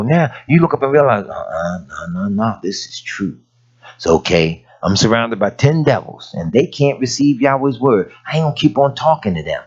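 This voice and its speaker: Raspy preacher man with lively swings. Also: mid-range voice, muffled recording. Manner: folksy storytelling preaching, rising to emphatic peaks. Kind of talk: sermon.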